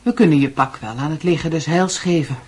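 An actress playing a caring middle-aged aunt in a radio drama, hesitant and gently admonishing, with a Netherlands Dutch accent. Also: female voice, low voice, brisk, breathy edge. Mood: concerned, admonishing.

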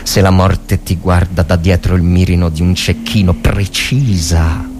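A man giving a dramatic, grave and measured narration of a war story as a literary reader. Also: low-pitched, fairly even intonation, over music.

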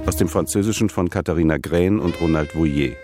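A man's voice: low-pitched, a little fast, over music. A man formally reading scripted titles and credits as a radio announcer.

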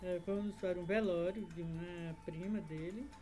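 A woman's voice: lowish voice, fairly even intonation, normal speed, warm tone, soft background music. A woman from Acre, Brazil, a podcast guest, reminiscing in a rambling way with many fillers and tag questions. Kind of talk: casual conversation, reminiscing.

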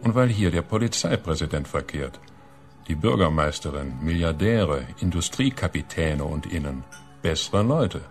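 Menacing chief inspector, hushed, officious, creaky voice, faint music underneath, radio play. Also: male voice, sits low, expressive, normal speed. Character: stern male police chief inspector, hushed but menacing. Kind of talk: radio drama acting.

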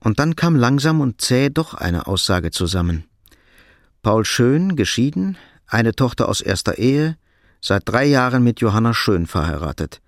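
A man narrating a crime radio drama in a measured, matter-of-fact scripted style. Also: mid-range voice, sing-song, dry recording, clean studio sound.

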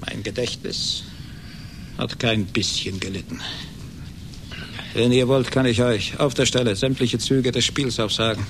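An embittered, gloating male genius played by a radio actor, obsessed with revenge. He speaks in a middle register with theatrical hesitations and repeated words. The old radio recording carries noticeable noise and a low rumble. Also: unhurried, pauses often, lightly acted. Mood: bitter. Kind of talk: radio play acting.

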